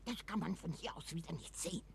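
A woman's voice: on the low side, fast talker, gravelly, a little hiss or hum. An actress playing a nosy old village woman in a German radio drama, rambling with suspense and self-importance. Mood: hushed, spiteful. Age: older.

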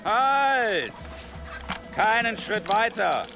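A man's voice: high-pitched, very animated, very slow, over music, full character voice. An actor playing a hard, suspicious, threatening male commander in a German radio drama, barking orders.

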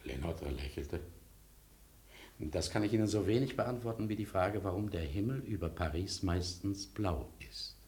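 A courteous, troubled émigré gentleman, deep and expressive. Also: normal speed. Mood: wistful. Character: troubled cultured German émigré lawyer, courteous and talkative. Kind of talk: 1950s German radio drama acting.

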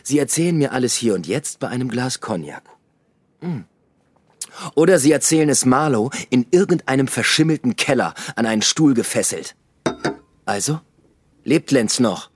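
Male detective, cool and coercive, husky, terse, dry close sound. Radio drama. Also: mid-range voice, lively intonation, moderate pace, long pauses, dry recording. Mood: quietly threatening. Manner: terse, formal, controlled. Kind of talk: German radio drama acting.

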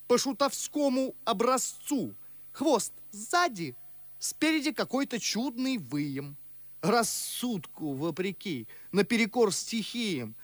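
A high, swooping voice as an indignant young gentleman in a radio play. He declaims verse with sarcasm and passion, lingering over his words in a dry, close recording. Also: no echo. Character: indignant, eloquent young gentleman railing at imitation of foreigners.

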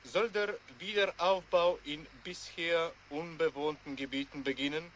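A male official on an emergency broadcast, voice fairly high. He speaks in eighties radio play style, with faint noise. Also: fairly even intonation, measured pace, fairly bright tone, rough recording. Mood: questioning. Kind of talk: 1980s radio drama acting.

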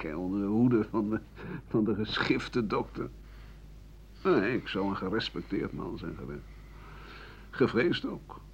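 Bitter old writer, scornful muttering, low pitch, radio drama, muffled noisy old recording. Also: male voice, creaky. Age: older. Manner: sardonic, rambling, scornful, with muttered asides. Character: bitter, cynical old French doctor and writer. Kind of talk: radio play monologue in interview form.

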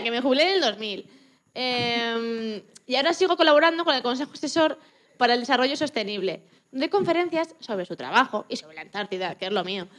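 A woman from playing a lively, joking retired Antarctic scientist in her eighties in a radio interview, often restarting her sentences. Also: fairly high pitch, lightly acted. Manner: chatty, joking, halting with restarts. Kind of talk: scripted mock radio interview.

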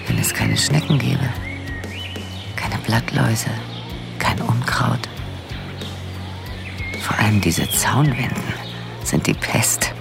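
A woman's voice: a bass voice, gravelly, full character voice. An actress playing a brooding, bitter woman gardener, musing to herself in a radio drama. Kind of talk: radio drama inner monologue.

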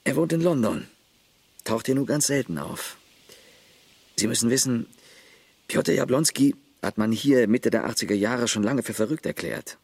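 A blunt, husky-voiced villager. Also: male voice, mid-range voice, expressive, moderate pace, pauses often, darkish tone, dry recording. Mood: storytelling. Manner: terse, matter-of-fact, lists points. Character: Jo, village man caring for grandfather, blunt. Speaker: character in a radio play.

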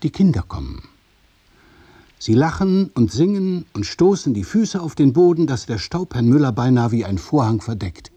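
A man narrating a scripted radio drama in the present tense, with deliberate, measured phrasing. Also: medium pitch, big pitch swings, dark tone, breathy edge.

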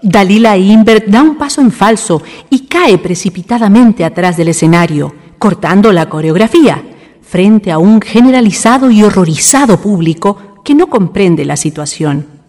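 A woman's voice: mid-range voice, lively intonation, moderate pace, a little mellow. A woman reading scripted radio narration in a solemn, florid storytelling manner. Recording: long reverb over music.

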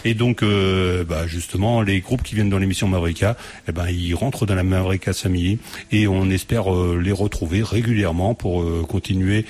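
A man's voice: low voice, fairly even intonation, normal speed, a little mellow. A man hosting a live music show in a radio studio, chatty, laughing often and using many fillers.

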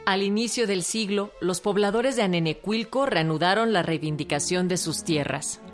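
A woman's voice: mid-range voice, moderate pace. A woman delivering scripted narration for a historical radio drama, with music behind her.

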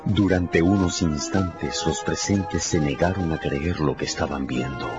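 A man's voice: a bass voice, measured pace, lo-fi sound, loud music behind the voice. A man delivering dramatic scripted narration for a radio story.

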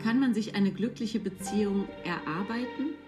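A woman's voice: medium pitch, normal speed, far from the mic. A woman posing reflective questions, one after another. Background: music.

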